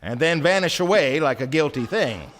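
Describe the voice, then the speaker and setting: A man performing as a wry storyteller, narrating in deliberate theatrical phrases for a live radio play. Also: a little high, expressive, a bit bright. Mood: wry storytelling. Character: wry storyteller narrating a small-town satire. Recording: live stage radio recording with music.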